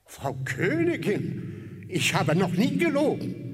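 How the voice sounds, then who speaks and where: An actor playing a truthful magic mirror in a radio play, speaking in solemn, singsong rhyme. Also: male voice, sits high, sing-song, takes it slowly, background noise, heavily acted. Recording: long reverb, music behind. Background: music.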